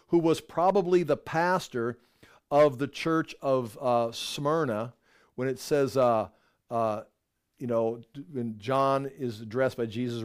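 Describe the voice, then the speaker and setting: A man's voice: mid-range voice, a little mellow. Man teaching a casual Bible class. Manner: conversational, digressive, with wry asides and self-corrections.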